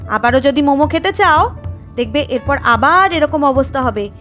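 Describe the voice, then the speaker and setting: A woman's voice: high-pitched, expressive, normal speed, fairly bright tone, muffled recording, soft background music. A woman voicing a bold young village woman in a cartoon, who speaks firmly and scolds. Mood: scolding. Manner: firm, scolding. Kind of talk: cartoon voice acting.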